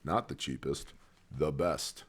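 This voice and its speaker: Very deep-voiced man with dry sarcastic asides. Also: steady tone, slow pace, a bit bright. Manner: dry sarcastic asides between read news items. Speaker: news commentary host. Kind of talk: news briefing with sarcastic asides.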